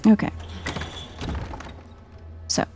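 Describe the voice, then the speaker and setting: A soft-spoken woman giving a chill, quiet ASMR-style commentary as she plays a video game. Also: drawn-out words, faint music behind. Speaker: gaming video host.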